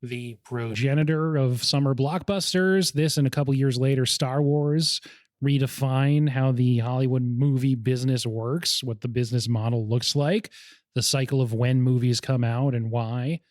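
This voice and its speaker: A young man hosting a casual movie podcast, chatty and quick to laugh, keen on film history. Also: medium pitch, steady tone, darkish tone, dry recording. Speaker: podcast host.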